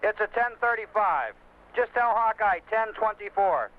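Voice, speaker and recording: Trucker on the cb, high voice, clipped lingo, all business, muffled radio sound. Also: male voice, lively intonation, moderate pace, slight background noise. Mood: businesslike. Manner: clipped, jargon-laden radio talk. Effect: CB radio filter. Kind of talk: scripted CB radio demonstration dialogue.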